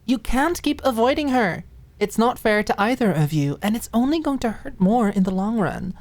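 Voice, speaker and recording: A woman voicing a spunky, exasperated best friend, raised pitch. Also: lively intonation, moderate pace, a little mellow, lightly acted. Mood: stern reprimanding. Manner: stern, teasing, reprimanding. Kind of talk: dramatized audiobook dialogue.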